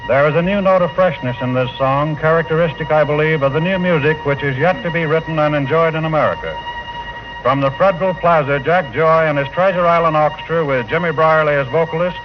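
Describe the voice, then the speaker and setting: A man's voice: fairly high pitch, brisk, music in the background. A man announcing formally from a script in the style of 1940s radio. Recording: muffled old 1940 radio broadcast.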